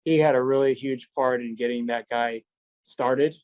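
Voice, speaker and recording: A man with a medium-pitched voice gives a first-person account in a conversational interview style. His intonation stays fairly level, and his wording is informal, like a controller telling what happened on shift. The sound is dry, with very little room around the voice. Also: fairly even intonation, moderate pace, a little mellow, no room sound, muffled recording. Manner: informal, quotes dialogue as he retells. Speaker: air traffic controller recounting an incident.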